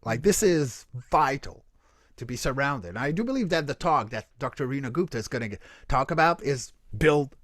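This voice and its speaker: A man's voice: medium pitch, expressive, moderate pace, a bit bright, no room sound, studio quality. A man, a voice coach and creature performer, answering questions earnestly on a video call, often restarting his sentences. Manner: earnest, explanatory, frequent restarts and self-corrections. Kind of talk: casual Q&A answers.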